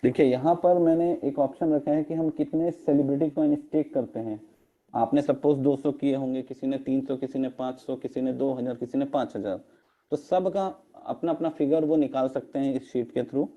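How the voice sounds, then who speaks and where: A man with a hoarse throat walking his viewers through interest figures on a spreadsheet, often calling them friends. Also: medium pitch, fairly even intonation, normal speed, dark tone, dull audio. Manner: repeated "friends" address, mixes English terms into Hindi. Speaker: crypto earnings explainer. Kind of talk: screen-share walkthrough of a spreadsheet. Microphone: online meeting audio.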